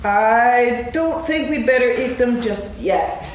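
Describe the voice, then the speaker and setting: A woman's voice: on the high side, lively intonation, takes it slowly. A woman playing a boastful, bouncy young tiger in a staged reading of a children's story, speaking emphatically. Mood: uneasy. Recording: echoey hall from far off, faint noise.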